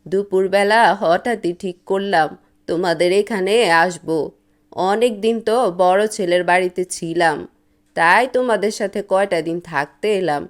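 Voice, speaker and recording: A woman voicing an elderly, stubborn mother in a cartoon, speaking in a plaintive, drawn-out way. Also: mid-range voice, natural voice. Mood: matter-of-fact. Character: elderly mother, fussy about food, self-pitying and stubborn. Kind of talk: cartoon voice acting.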